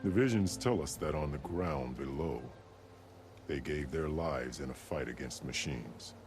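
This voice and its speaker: Really deep booming war chief, stern and formal, game music under it. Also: male voice, measured pace. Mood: solemn commanding. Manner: formal, solemn. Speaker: game character. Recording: game audio with music behind.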